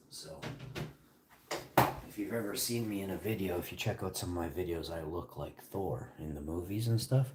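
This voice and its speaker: A man's voice: sits low, moderate pace, long pauses, very noisy. A man live-streaming, chatting casually with his viewers and answering their questions between bites of food.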